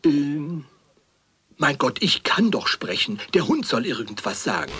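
Astonished sceptical interviewer in old comedy sketch, kinda high husky voice, very animated. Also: male voice, a little slow, full character voice. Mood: incredulous. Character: sceptical, astonished interviewer of a talking-dog owner.

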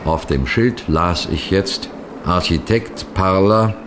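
A man playing a composed, clever police detective, recounting a case in measured, precise radio mystery narration. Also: low voice, fairly bright tone, slight background noise. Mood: measured, suspenseful. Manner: measured, precise, deliberate storytelling.

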